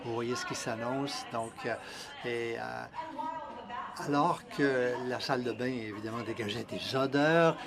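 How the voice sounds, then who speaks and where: A man introducing a speaker and then interpreting her talk, relaying it in the first person in a measured, even way. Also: medium pitch, crowd noise. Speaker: interpreter and emcee. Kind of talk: live interpretation of a seminar talk.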